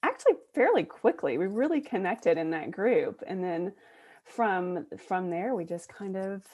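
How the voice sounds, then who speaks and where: A woman hosting her own podcast, introducing a guest and asking questions in an eager, excitable way. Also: a little slow, muffled recording. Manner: eager, excitable, with sudden upward jumps in pitch. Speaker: podcast host and empath coach.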